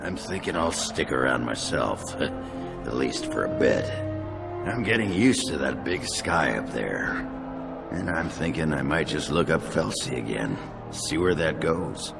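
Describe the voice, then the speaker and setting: Very deep, gravelly drunken dwarf warrior. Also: male voice, very animated, a little slow, full character voice. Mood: gruff, jovial. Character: gruff, hard-drinking male dwarf warrior, crude and jovial. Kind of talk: video game character dialogue. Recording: loud music behind. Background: loud music.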